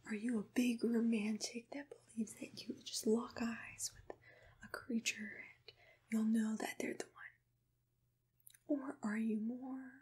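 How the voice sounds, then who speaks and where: A woman whispers softly and gently in an ASMR roleplay, asking questions in an attentive, breathy voice close to the microphone. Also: a little high, level tone, unhurried. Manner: soft-spoken, gentle, attentive personal tone. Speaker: ASMR roleplayer playing a monster matchmaker. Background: computer keyboard typing.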